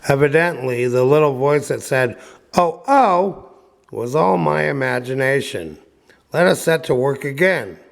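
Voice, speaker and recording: Man reading as a slow-spoken old storybook carpenter. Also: mid-range voice, lively intonation, vocal fry. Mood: forced cheer. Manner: muttering to himself, self-reassuring. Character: good old carpenter, bewigged, easily frightened, muttering to himself. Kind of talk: storybook character voice.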